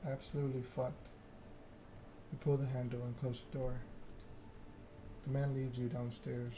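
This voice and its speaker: A man reads a story game aloud in a soft ASMR style. His pitch is middling and stays quite flat, with clear noise behind him. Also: normal speed. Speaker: narrator reading a video game aloud.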